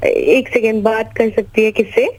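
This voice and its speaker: A young woman with an Indian accent chatting casually on a phone call, halting and repeating herself. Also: on the high side, fairly even intonation, a little mellow, dry recording. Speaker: prank-call recipient.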